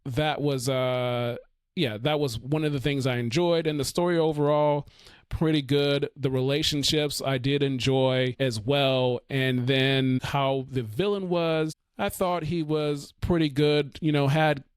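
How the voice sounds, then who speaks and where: A male podcast host talks through a film review in a loose, rambling way, leaning on fillers and restarting his sentences. His voice sits in a middle register and is starting to go hoarse. The sound is dry and close, with no room echo. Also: medium pitch, steady tone, a little slow. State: losing his voice. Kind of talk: casual solo film review.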